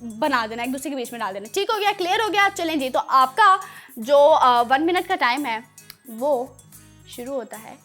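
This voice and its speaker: A woman with a Pakistani accent and a high voice hosts a children's program. She presents in a playful, encouraging way, addressing young children directly. Music plays behind her. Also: expressive, normal speed, a bit bright. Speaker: children's show host.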